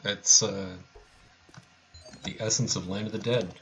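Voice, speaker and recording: A man's voice: on the low side, steady tone, a little slow, noisy background. A man giving casual, wry live commentary on a video game speedrun, talking to his viewers. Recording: game music behind.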